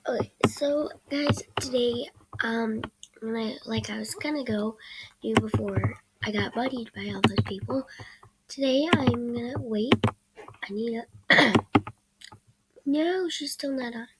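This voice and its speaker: A woman's voice: fairly high pitch, slow pace, long pauses. A sick teenage girl who is losing her voice, giving hesitant, rambling commentary while she plays a game on her computer. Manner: hesitant and rambling, often trailing into soft mumbles. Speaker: gaming channel host. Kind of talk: casual gameplay commentary. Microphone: computer microphone during screen recording. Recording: loud background noise with clicks.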